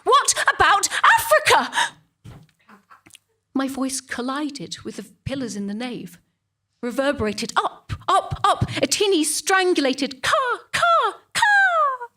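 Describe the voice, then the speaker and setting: High-pitched, snobbish middle-class English churchgoing mother. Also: huge pitch swings, slow, pushed and bright, exaggerated voice. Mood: wounded, rising rage. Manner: self-righteous, confiding, emphatic. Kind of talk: dramatic comic monologue.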